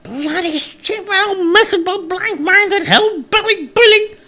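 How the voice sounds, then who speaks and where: A man's voice: very high voice, sing-song, measured pace, a bit bright, pitched above the performer's own voice, exaggerated voice. A man voicing a lost, exasperated traveller in a storybook tale, exclaiming and pleading. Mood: angry. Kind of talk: storybook character voice.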